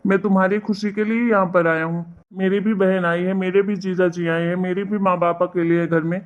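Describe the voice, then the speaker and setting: A woman voicing an exasperated, reproachful young husband in an animated family drama, lecturing and scolding. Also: on the low side, brisk, phone-quality sound, close to an everyday voice.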